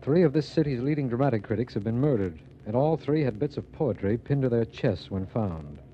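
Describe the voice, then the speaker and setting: An actor playing an earnest, businesslike district attorney, speaking formally in a 1940s radio drama. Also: male voice, medium pitch, lively intonation, a little fast, natural voice. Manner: formal, declarative. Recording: muffled, hissy 1940s radio recording.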